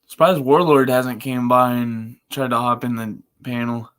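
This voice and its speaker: A man with a monotone, chill voice, rambling casually and slangily as a live streamer. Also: mid-range voice, measured pace. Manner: laid-back, chill. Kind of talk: casual livestream chat.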